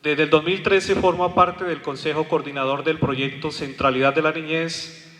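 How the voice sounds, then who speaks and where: A man's voice: a little high, fairly even intonation, normal speed, fairly bright tone. Man giving a formal introduction in a hall. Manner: reads a formal biography. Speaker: event host introducing the speaker. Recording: long hall reverb.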